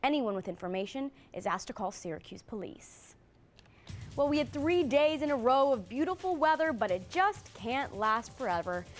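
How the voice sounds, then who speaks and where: A woman's voice: on the high side, sing-song, moderate pace, faint music behind. A woman with an American accent reading scripted news as an anchor.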